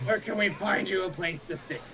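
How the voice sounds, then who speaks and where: A woman's voice: on the low side, brisk, pushed and bright. A woman playing along in a game session, casually reading dialogue aloud as an amateur and bantering. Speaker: co-player voicing game dialogue. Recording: music clearly behind the voice.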